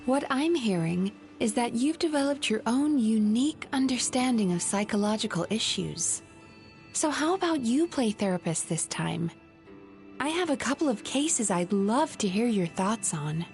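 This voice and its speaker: An actress playing a shrewd female therapist in a video game, measured, articulate and instructive, with a firm, pointed way of explaining. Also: fairly high pitch, expressive, a little mellow, soft background music. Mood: composed probing.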